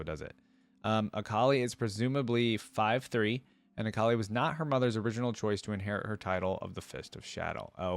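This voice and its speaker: A man's voice: fairly low pitch, clean tone, no room sound. A man giving casual reaction commentary, musing aloud with curiosity as host.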